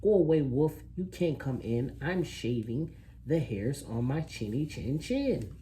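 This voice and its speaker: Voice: medium pitch, very animated, a little slow, dark tone, slight background noise. A reader voicing a rude, curt little pig who snaps dismissively in a children's storybook. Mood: irritated. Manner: dismissive, snappish. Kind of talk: children's picture book read aloud.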